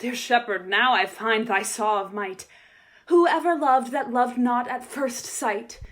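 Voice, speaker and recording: A woman plays a proud young shepherdess in a Shakespearean radio play. The voice is bright and forceful, with haughty, theatrical phrasing. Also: a little high, a little slow. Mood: smitten.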